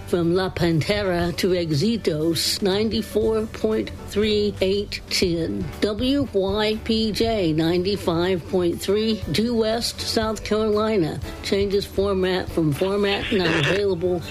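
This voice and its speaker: A woman's voice: medium pitch, steady tone, takes it slowly, few pauses, soft background music, poor audio. A woman announcer reading a scripted list in a formal, evenly recited way. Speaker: radio segment announcer.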